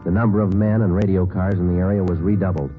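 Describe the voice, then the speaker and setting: An actor playing a terse police sergeant who narrates the case in a flat, matter-of-fact way, in an old radio drama. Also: male voice, sits low, fast talker, natural voice. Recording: tinny old radio broadcast with music.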